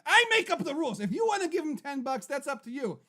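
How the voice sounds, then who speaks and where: A man hosting a live comedy web show, brash and bossy, joking and talking over his cohost, his voice getting hoarse. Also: extremely high pitch, very animated, fast, sharp tone. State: losing his voice. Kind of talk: loud comedic live-stream chat.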